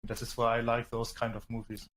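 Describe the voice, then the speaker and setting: A man with a medium-pitched, very even voice takes part in a casual podcast discussion. Faint noise sits behind his words. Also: fairly quick. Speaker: podcast panelist.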